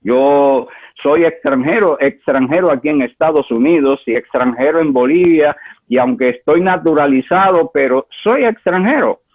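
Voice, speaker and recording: A man from Cuba preaching a sermon as pastor, with emphatic delivery that swings between high exclamations and low asides, repeating words for effect. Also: moderate pace. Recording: tinny phone-like line.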